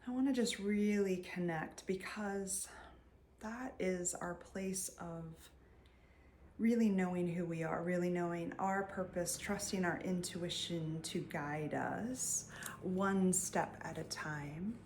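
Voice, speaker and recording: Slow, soothing woman guiding meditation. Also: lowish voice, steady tone, a little mellow, a little hiss or hum. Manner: soothing, unhurried, with long pauses between phrases. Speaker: meditation guide and energy healer. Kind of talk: guided meditation.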